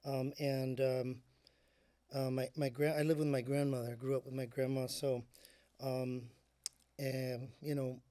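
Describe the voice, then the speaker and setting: A middle-aged man from the South Texas border, a musician guest speaking softly and haltingly with many fillers in a casual interview. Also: mid-range voice, flat delivery, dry recording.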